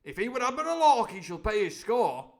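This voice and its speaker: A man voicing a loud, domineering pirate landlord from a storybook, blustering in sailor's talk. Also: expressive, normal speed. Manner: booming, blustering, sailor's speech.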